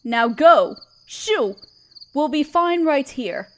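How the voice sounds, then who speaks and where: A woman playing a regal, tender spider queen in an audio roleplay, speaking in a gentle, soothing and slightly formal way. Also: sits high, animated, slow pace, heavily acted. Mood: commanding. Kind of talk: scripted roleplay audio drama. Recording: crickets chirping behind the voice. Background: crickets and night insects.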